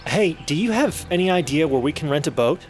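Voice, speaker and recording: A man's voice: fairly high pitch, lively intonation, brisk, soft background music, lightly acted. An actor playing a casual, uneasy male travel companion in an audio drama, informal and questioning.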